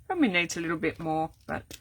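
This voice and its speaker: An older woman, chatting casually through a live card-making demo, rambling with many ums and restarts, her voice going croaky. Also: lowish voice, sing-song, fast, a little hiss or hum. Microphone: camera set up at desk.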